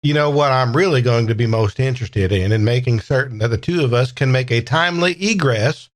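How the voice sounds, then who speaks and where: A man playing a courtly self-styled Southern gentleman in a tabletop game, with flowery, folksy phrasing. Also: medium pitch, a little fast, natural voice. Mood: courtly, protective.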